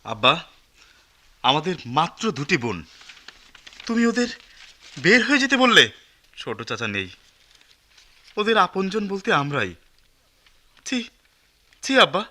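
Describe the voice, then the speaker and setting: A righteous young student in a radio drama. His voice is very bright and animated, a little high. He sounds principled and reproachful. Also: slow pace, long pauses, exaggerated voice. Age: young adult. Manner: reproachful, impassioned. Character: principled, outraged young college-student son. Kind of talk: Bengali radio play acting.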